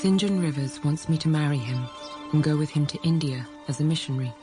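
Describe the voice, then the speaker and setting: A woman's voice: sits low, quick pace, music in the background, natural voice. An actress playing a troubled young woman who narrates her inner thoughts in a radio drama, intimate and introspective. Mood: pained, restrained.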